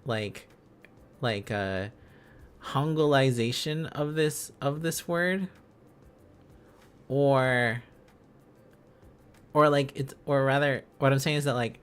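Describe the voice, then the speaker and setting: A man's voice: mid-range voice, slow pace, long pauses, clear, resonant tone. A man streaming a casual lesson, musing aloud and repeating phrases and syllables as he works out letters and words. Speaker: streamer learning Korean script on a live stream.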